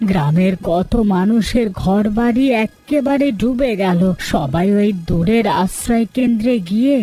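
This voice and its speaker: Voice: medium pitch, animated, normal speed, dark tone, natural voice. An actor voicing a caring, honest village elder brother in a cartoon story, speaking earnestly and emphatically. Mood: earnest, concerned. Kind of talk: dramatic Bengali cartoon story dialogue. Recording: music under the voice.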